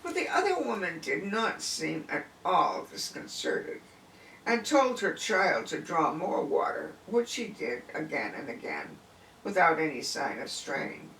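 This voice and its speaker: A man's voice: on the high side, big pitch swings, a little slow, sharp tone. A man reading a folk tale aloud expressively, with dramatic, emphatic phrasing. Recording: distant, echoey room with faint noise.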